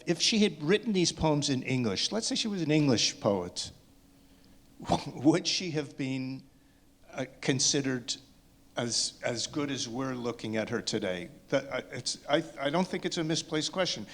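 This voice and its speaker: A man speaking spontaneously in a panel discussion, halting and insistent, often rephrasing what he says. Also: medium pitch, lively intonation.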